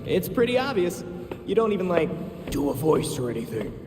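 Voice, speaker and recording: Nerdy young American sidekick, lively pitch. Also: male voice, fairly quick, over music. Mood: casual, matter-of-fact. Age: young adult. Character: nerdy earnest young male vigilante sidekick. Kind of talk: video game cutscene acting. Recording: echoey hall with loud score behind.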